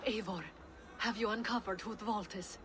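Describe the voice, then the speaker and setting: A woman acting as an earnest, worried companion in a medieval video game. Her voice sits at a middle pitch, loyal and serious, with clear ambient noise around it. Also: fairly even intonation, normal speed, lightly acted. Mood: earnest, subdued.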